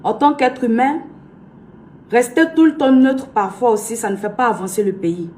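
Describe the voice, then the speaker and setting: A young Ivorian woman with a fairly high voice talks straight to her followers, measured and earnest, pressing her points through repetition. There is a faint hum of noise behind her. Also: a little mellow. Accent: Ivorian French. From Côte d'Ivoire. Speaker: blogger and influencer. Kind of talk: direct-to-camera address to followers.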